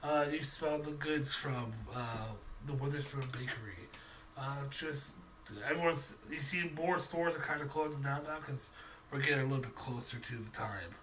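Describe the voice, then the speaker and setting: A man narrating a tabletop game as game master, halting and searching for words as he improvises. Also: medium pitch, fairly bright tone. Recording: distant mic with faint noise.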